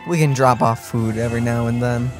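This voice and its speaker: A woman's voice: a bass voice, brisk. A woman co-hosting a gaming stream in casual let's play chat. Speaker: gaming co-host. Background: game music.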